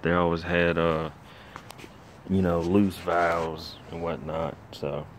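Middle-aged home mechanic, deep unhurried voice, casual how-to talk on a phone mic. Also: male voice, slight background noise. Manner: plainspoken, rambling with many fillers. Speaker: home mechanic filming a rebuild. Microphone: handheld phone camera.

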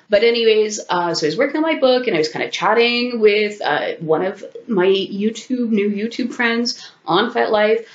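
A woman in her thirties, sick and a little stuffed up, talks frankly and casually to her webcam, swearing and laughing as she goes. Her voice sits a bit low. The sound is dull and lo-fi, with the echo of a small home room. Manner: chatty, frank and profane, with frequent laughs and asides. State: sick with a sore throat and congestion. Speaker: vlogger. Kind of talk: casual talking-head commentary. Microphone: webcam at desk distance.

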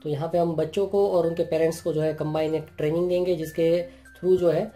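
A man's voice: fairly high pitch, level tone, fairly quick, dark tone. A man hosting an unboxing and review, talking through each item in plain explanatory Hindi full of repeated "jo hai" fillers. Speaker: channel host reviewing products. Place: indoors at a table. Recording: clear music playing underneath.

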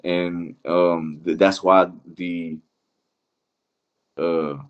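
Deep-voiced Iranian-born man, halting and slangy. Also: flat delivery, clean tone, muffled recording. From Iran. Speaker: interview guest. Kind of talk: casual chat.

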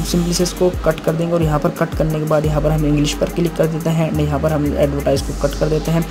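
Male tech host, Indian accent, even unvaried pitch, step-by-step instructional read over clear background music.

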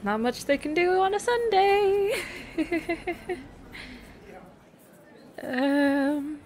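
A woman's voice: sits high, a little hiss or hum, full character voice. A woman playing an affectionate, teasing young girlfriend on a secret cafe date in an intimate roleplay audio, speaking casually with hesitant restarts. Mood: nervous, tender.

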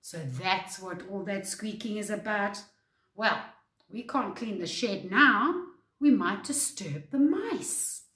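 A British woman voicing a gentle, practical male gardening animal in a storybook, earnest and unhurried. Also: medium pitch, lively intonation, roomy sound. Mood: gentle, reassuring.

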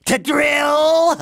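Casting: an evil dentist for a cartoon game, gravelly, gloating, slow and menacing. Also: male voice, high-pitched, pushed and bright, no room sound, studio quality, exaggerated voice. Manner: theatrical, menacing. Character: sinister, gloating villain dentist. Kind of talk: cartoon video game acting.

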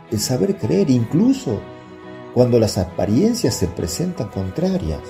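Male actor as earnest apostle, solemn radio drama sermon, animated intonation, very mellow, gravelly. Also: mid-range voice. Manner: solemn, oratorical. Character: earnest apostle leader preaching humility and faith.

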